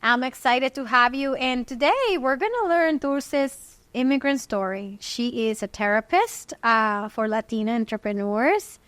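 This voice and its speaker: A woman hosts a casual interview, her voice sitting fairly high. She loops through her questions with many "right?" and "you know" tags. The sound is dry and close. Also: lively intonation, a little slow, few pauses, fairly bright tone, clear, resonant tone, no room sound. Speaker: podcast host. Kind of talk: conversational interview.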